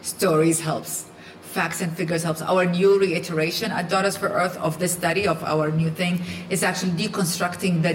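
A woman's voice: fairly low pitch, reverberant, slight background noise, low-quality recording. A woman who grew up in Iraq, speaking on a live panel in an earnest, emphatic way and often restarting her sentences.

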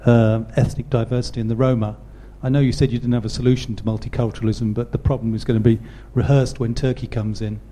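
A man's voice: medium pitch, little pitch movement, fast talker, hardly any pauses, warm tone, slight background noise. A man in the audience asking a long question hesitantly, with many ums. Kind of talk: question from the floor.

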